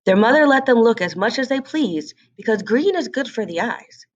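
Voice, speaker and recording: Female storyteller for children's fairy tale, highly animated delivery, slightly muffled recording. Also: normal speed. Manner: expressive. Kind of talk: scripted children's story narration.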